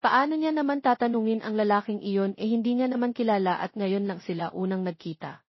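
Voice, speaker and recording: A woman's voice: mid-range voice, a little fast. A Filipino woman reading a scripted romance story as its narrator. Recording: dull, roomy, lo-fi audio.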